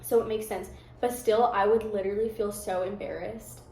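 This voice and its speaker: A young American woman chatting casually, talking candidly and reflectively in a soft-spoken way. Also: medium pitch, steady tone, moderate pace, echoey room, slight background noise. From United States. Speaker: guest. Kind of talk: casual chat.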